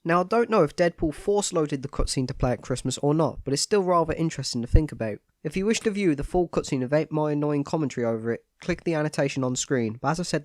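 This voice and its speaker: A man's voice: mid-range voice, warm tone, dry recording. A man with a cold and a stuffy nose, giving a scripted, matter-of-fact voice-over analysis of a video game.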